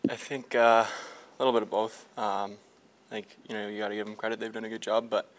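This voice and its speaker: A man's voice: lowish voice, little pitch movement, a little fast, poor audio. A young male hockey player in a locker room after a game, answering reporters with many fillers and restarts. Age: young adult. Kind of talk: post-game media scrum. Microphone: several reporters' handheld mics held close.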